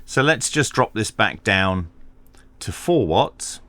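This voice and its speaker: A man's voice: fairly low pitch, big pitch swings, slow pace, a bit bright, no room sound. A man giving a methodical, explanatory hands-on commentary as he presents a product review. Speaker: radio reviewer presenting a video.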